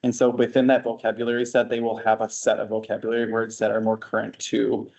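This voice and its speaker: Low-voiced man explaining teaching materials. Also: completely flat delivery, quick pace, creaky, a little mellow. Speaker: French teacher. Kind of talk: explaining at a school board workshop.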